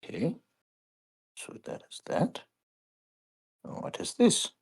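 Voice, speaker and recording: A man's voice: slow pace, darkish tone. A man chatting casually as a player in a tabletop role-playing game.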